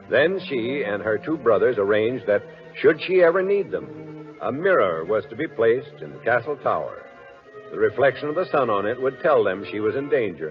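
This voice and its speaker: A man narrating a dark fairy tale in the formal, dramatic style of an old-time radio play. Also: medium pitch. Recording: muffled old radio recording. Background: music.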